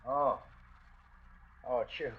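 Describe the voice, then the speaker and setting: A man's voice: mid-range voice, steady tone, slow pace, slightly rough. An actor playing a wary, coolly cunning husband and father in a 1940s radio drama. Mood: relieved, weary. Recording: muffled old radio recording.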